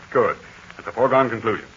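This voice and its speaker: An actor playing a calm, fatherly heroic aviator in a 1940s radio serial, speaking in measured, assured tones. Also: mid-range voice, breathy edge. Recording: dull scratchy 1940 radio transcription.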